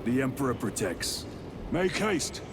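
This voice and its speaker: A man's voice: medium pitch, big pitch swings, a little slow, background noise. A man voicing a grave, commanding armored space marine lieutenant in a video game, terse and formal. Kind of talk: cinematic video game acting. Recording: loud music over the voice.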